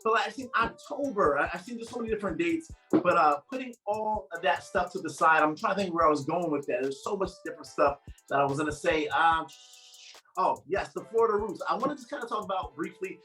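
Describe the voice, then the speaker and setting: A man's voice: high voice, expressive, fast, sharp tone, faint music behind. A man interviewing a guest in a casual, rambling way, full of fillers and eager asides. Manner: rambling, filler-heavy, enthusiastic. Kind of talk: casual interview over a call. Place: home in northern New Jersey.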